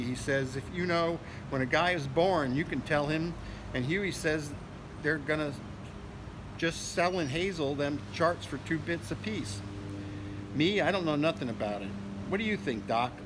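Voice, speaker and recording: A man's voice: a little high, moderate pace, close to an everyday voice. A man voicing a folksy, wily, friendly working-class character from a novel, talking in loose, cajoling colloquial speech. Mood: chatty, sly. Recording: noisy outdoor ambience with vehicles.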